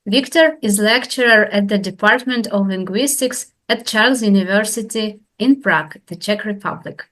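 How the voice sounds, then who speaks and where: A woman's voice: mid-range voice, normal speed, a bit bright. A woman hosting an interview podcast, polite and formal, asking questions with some hesitation. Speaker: podcast host.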